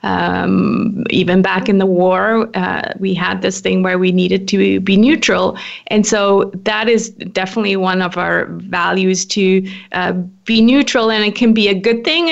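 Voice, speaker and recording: A woman's voice: medium pitch, vocal fry, lo-fi sound. A woman in her forties from Switzerland, a podcast guest chatting casually, with frequent laughs and restarts. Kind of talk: casual interview conversation.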